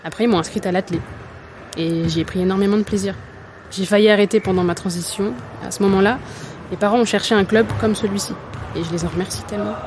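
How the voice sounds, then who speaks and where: A woman's voice: mid-range voice, steady tone, fast, darkish tone, natural voice. Actress as earnest young woman athlete, noisy background. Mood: weary, candid. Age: young adult. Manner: earnest, colloquial. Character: Mel, embattled, doubtful young woman athlete. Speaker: actor in an audio drama. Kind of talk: naturalistic French audio fiction acting.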